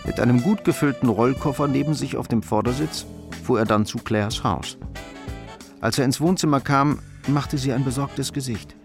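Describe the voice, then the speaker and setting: A man narrating a radio crime drama in a measured, factual storytelling manner. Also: mid-range voice, creaky, music in the background, close to an everyday voice.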